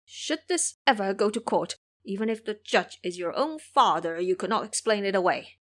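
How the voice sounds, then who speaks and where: A woman's voice: medium pitch, lively intonation, moderate pace, close to an everyday voice. A pious monk master voiced by a woman in an audio drama. He is morally earnest and preachy, speaking in a middle register as one used to admonishing disciples. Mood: stern.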